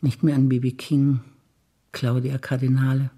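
An older woman, an actress reciting memories in a quiet, measured way on stage. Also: a bass voice, level tone, slightly rough.

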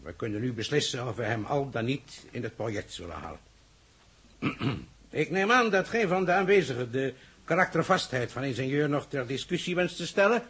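An actor playing a grave, secretive, authoritative government minister in a 1960s Dutch radio play, speaking formally and with measure. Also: male voice, mid-range voice, very animated, moderate pace, fairly bright tone, slightly rough, exaggerated voice. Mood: commanding. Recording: old 1960s radio drama recording.

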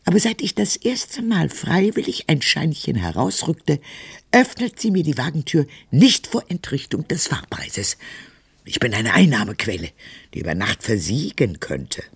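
An actress playing an ironic, stubborn old woman in a radio drama, with a husky, breathy voice that often nears a whisper and a dry, deliberate delivery. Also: low-pitched, very animated, no echo, heavily acted. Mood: sardonic, weary. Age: older. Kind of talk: radio drama monologue and dialogue.

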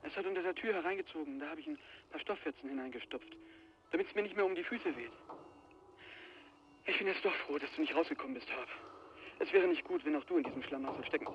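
A man's voice: a little high, animated, fast, lo-fi sound, a little hiss or hum. An actor in a radio play, playing a frightened, obsessed travel writer who believes he is being hunted, with an agitated, dramatic delivery. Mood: tense, subdued. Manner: agitated, dramatic, swinging from hushed dread to frantic outbursts. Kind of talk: 1980s German radio play acting.

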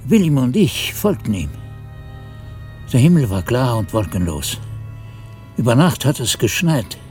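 An actor playing a reflective monk who narrates a radio play in a deliberate, atmospheric storytelling manner. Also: male voice, mid-range voice, sing-song, a little mellow, soft background music. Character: reflective male monk narrator recounting abbey mystery.

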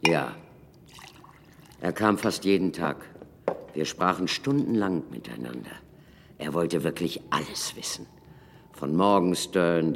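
An older actor in a German radio drama playing a wry, learned former prisoner, speaking formally and with measured dramatic pauses. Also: male voice, low-pitched, slight background noise, heavily acted. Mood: rueful. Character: learned former prisoner, wry doctor recounting his past. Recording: reverberant room, faint music underneath.

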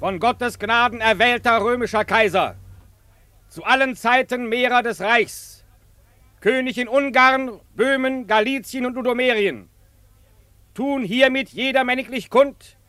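A radio-play emperor, reads his decree in a very high-pitched voice. He is pompous and formal, declaiming each clause ceremoniously in a dry, close recording. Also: a little slow, sharp tone, no echo, full character voice. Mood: solemn, pompous. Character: formal emperor reading out a royal proclamation. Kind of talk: radio play acting, proclamation.

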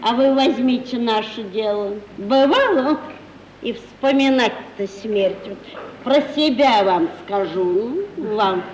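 Coy, high-voiced housekeeper. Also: female voice, expressive, slow, a little mellow. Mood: coy, flirtatious. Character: coy, fawning housekeeper woman of a country estate. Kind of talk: 1950s Russian radio stage comedy acting. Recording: roomy, noisy old 1950s radio recording.

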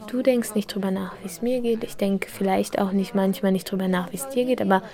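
Casting: woman as hurt, accusing girlfriend, soft dark tone, medium pitch, naturalistic radio play. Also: fairly even intonation, a little fast, close to an everyday voice. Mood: reproachful, hurt. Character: reproachful, hurt woman arguing with her partner. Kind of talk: naturalistic German radio drama dialogue.

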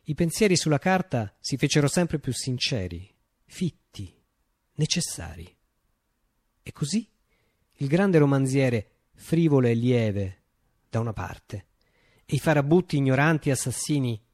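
A man reciting a theatrical monologue for radio, declaiming with dramatic pauses and emphatic phrasing. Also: medium pitch, very animated, darkish tone, no echo, studio quality.

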